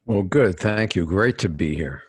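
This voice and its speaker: An older man from New York City, a guest executive coach, telling stories in a measured interview manner and often restarting his phrases. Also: deep voice, lively intonation, breathy edge, dry recording. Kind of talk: conversational interview storytelling.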